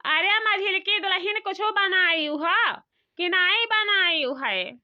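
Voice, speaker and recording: Woman playing a high-voiced grumbling old village mother-in-law. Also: slow pace, sharp tone, full character voice. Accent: Awadhi. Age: older. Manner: complaining, rambling rustic talk. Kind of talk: comic cartoon dialogue. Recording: dull lo-fi sound.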